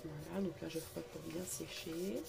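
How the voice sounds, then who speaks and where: A middle-aged woman with a cold talks through a craft tutorial in her living room, filling gaps with little set phrases. Her voice is gravelly and stuffy, and there is steady noise behind her on the recording. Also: sits low, moderate pace. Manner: chatty, repeats "tout simplement" and "voilà", many fillers. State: congested with a cold. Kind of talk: casual craft tutorial narration.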